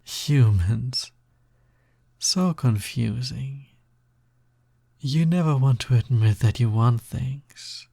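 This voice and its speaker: A man playing a sly, smug, possessive naga roommate in an intimate audio roleplay, with a breathy, gravelly voice and a teasing drawl that often drops toward a whisper. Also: medium pitch, slow, pauses often, mellow, dry recording, clean studio sound. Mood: smug, affectionate. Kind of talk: intimate scripted audio roleplay, close ASMR-like delivery.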